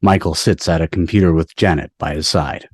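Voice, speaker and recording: A man's voice: rumbling low, fairly even intonation, a little fast, dry recording, clean studio sound. A man on a video call reading stage directions as narrator of an amateur table read.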